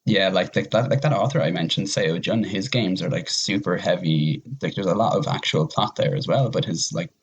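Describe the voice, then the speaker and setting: A man's voice: low-pitched, normal speed, hardly any pauses, husky. A man speaking as a podcast guest in a casual discussion of games, rambling and often restarting his phrases. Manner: hesitant, rambling, repeats phrase starts.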